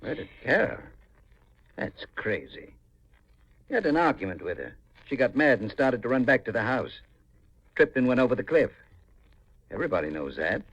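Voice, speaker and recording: A man's voice: mid-range voice, big pitch swings, normal speed, pauses often, a bit bright, breathy edge, no echo, dull audio, exaggerated voice. An actor playing a greedy, murderous middle-aged uncle in a 1950s radio mystery, calculating and insistent in his dramatic delivery. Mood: suspicious, brusque.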